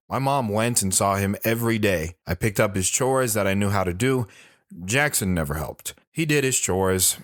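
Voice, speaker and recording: Reflective man recalling boyhood acted by a man, lowish voice, dry close sound, audio drama narration. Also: no room sound, close to an everyday voice. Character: reflective grown man recounting his boyhood memories. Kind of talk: first-person audio drama storytelling.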